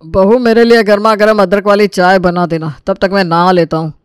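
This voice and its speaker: Kindly middle-aged father-in-law, voiced by a man, soothing and concerned, animated family tale, dry close recording. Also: high-pitched, brisk, smooth, dry recording, close to an everyday voice. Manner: caring, soothing. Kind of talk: Hindi animated moral story acting.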